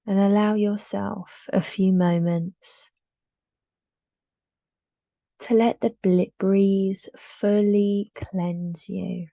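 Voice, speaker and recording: A woman guides a meditation in a mellow, relaxed voice. She speaks extremely slowly, in short soothing phrases that trail softly into breath, with long pauses between them. The sound is dry, with very little room around her. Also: mid-range voice, gravelly, no room sound.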